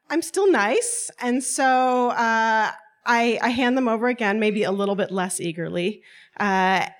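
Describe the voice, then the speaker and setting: A woman in her twenties telling a personal story to a live audience on stage, wry and often laughing as she talks. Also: fairly high pitch. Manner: lively, wry, often laughing through lines.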